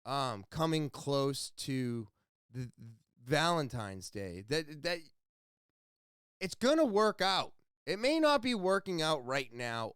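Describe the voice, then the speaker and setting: A man's voice: on the high side, clear, resonant tone, no echo, clean studio sound. A man hosting his own podcast, talking alone in a casual, earnest and rambling way, blunt and swearing freely. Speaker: podcast host. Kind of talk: casual solo podcast monologue.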